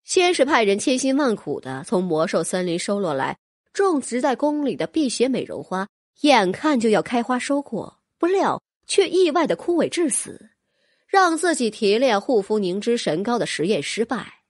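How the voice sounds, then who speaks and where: A woman's voice: a little high, very animated, moderate pace, dry recording, clean studio sound. A woman reading a fantasy novel as scripted audiobook narration.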